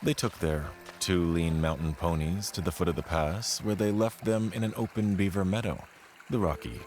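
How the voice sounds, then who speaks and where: A man telling a scripted frontier tale as a dramatic narrator, in a measured, formal, literary way. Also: low-pitched, slight background noise. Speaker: storyteller narrating an audio play. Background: music under the voice.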